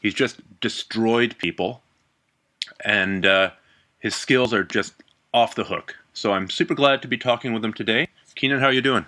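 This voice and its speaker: A man from Canada hosting an interview podcast, enthusiastic and quick to laugh. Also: on the low side, sharp tone. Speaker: podcast host.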